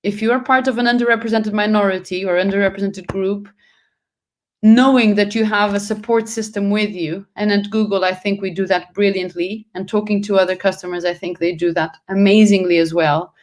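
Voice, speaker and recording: A woman's voice: mid-range voice, fairly even intonation. Portuguese-born woman, earnest panel guest. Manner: earnest, quick restarts and self-corrections. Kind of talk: panel discussion answers.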